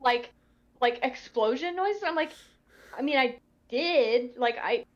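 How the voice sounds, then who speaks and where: A woman's voice: high-pitched, measured pace, lo-fi sound. A woman voice actor chatting casually in a group commentary, in a tentative, hedging way. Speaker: voice actor in an audio drama.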